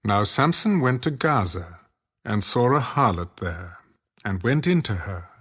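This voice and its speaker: A man's voice: on the low side, very animated, measured pace, a bit bright, breathy edge. A man narrating scripture in a solemn, dramatic storytelling style for an audio production.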